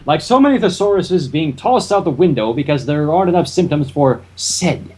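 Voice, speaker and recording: A fan fiction reader narrating a story in an animated way, with casual banter in between. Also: mid-range voice, normal speed.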